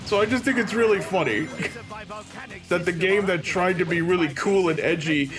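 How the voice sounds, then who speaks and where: A man's voice: high-pitched, normal speed, over music. A man doing casual, joking let's play commentary on a video game with friends.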